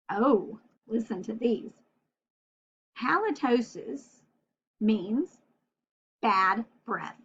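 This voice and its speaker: A woman with a fairly high voice speaks slowly, as a teacher does with young children. She is expressive and sing-song, stretching key words and putting questions to the listener. The sound is a slightly dull, lo-fi home recording. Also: slightly rough. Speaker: children's teacher and storybook reader. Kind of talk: read-aloud vocabulary lesson for young children.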